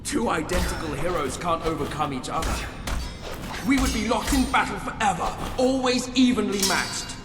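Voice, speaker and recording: A fanatical commander scheming to take a crown in a video game. His fairly high voice speaks in grandiose, menacing monologue, set back from the mic in a long, echoing hall. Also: animated, moderate pace. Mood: exultant. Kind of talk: dramatic video game villain acting. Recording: echoey hall, distant, loud music behind.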